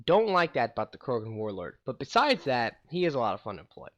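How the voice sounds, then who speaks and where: A man's voice: medium pitch, sing-song, fast talker, a bit bright, lo-fi sound. A young man from St. Louis, a gaming YouTuber, casually telling stories about his life in a rambling, chatty way. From St. Louis, USA. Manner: rambling, conversational, many fillers. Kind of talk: casual storytelling to camera.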